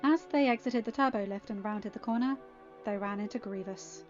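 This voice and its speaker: A woman reading a fan fiction story aloud as an amateur narrator, in an expressive scripted style. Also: mid-range voice, normal speed. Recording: dull, band-limited audio with background music. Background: quiet music.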